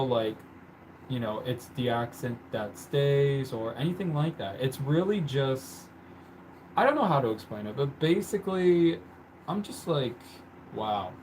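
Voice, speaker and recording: A man talks loosely into his stream, his voice sitting at a middling pitch with a lot of "like" and "um" between thoughts. Faint room noise hums underneath him as he chats at home. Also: darkish tone, rough recording. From born in the country where he lives. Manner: chatty, rambling, with many "like" and "um" fillers. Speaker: livestream host. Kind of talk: casual livestream chat. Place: indoors at home, painting on the floor.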